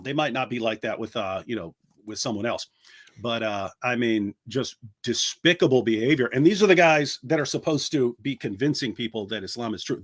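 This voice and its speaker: A middle-aged man co-hosting a casual commentary chat, talking in an animated way with many restarts and the odd mocking impression. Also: mid-range voice. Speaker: co-host.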